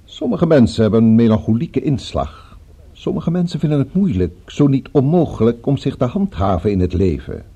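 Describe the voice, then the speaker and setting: A cultured, dangerous gentleman villain of radio drama. His voice is very soft-edged and dark, slightly husky, with musical, lively intonation. He speaks formally and threatens with perfect politeness. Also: medium pitch, normal speed, lo-fi sound. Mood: polite, musing threat. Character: courteous, menacing male villain with a revolver, cultured.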